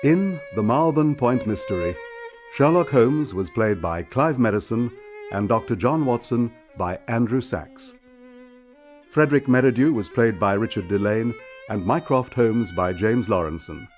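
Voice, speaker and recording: An Englishman reading a scripted radio announcement in a measured, formal style. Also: mid-range voice, animated. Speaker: closing credits announcer. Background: violin music.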